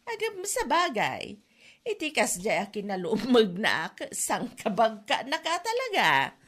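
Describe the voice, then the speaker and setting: Gossipy female friend, highish and very animated, Ilocano accent, teasing comedy audio drama delivery played by a woman. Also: measured pace, full character voice. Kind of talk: comedy drama podcast acting.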